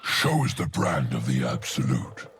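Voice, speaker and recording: Extremely deep, pompous ogre gourmand. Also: male voice, wildly swooping pitch, measured pace, slight background noise, heavily acted. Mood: menacing grandeur. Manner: pompous, florid, savouring words. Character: huge erudite gluttonous ogre, pompous and greedy. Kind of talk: video game character acting. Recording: game audio with faint music.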